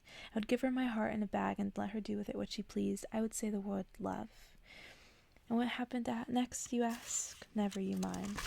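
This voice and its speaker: A woman ASMR host whispering softly and close to the microphone in a breathy voice, as if reading bedtime stories aloud. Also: mid-range voice, no echo. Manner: whispering, soft-spoken.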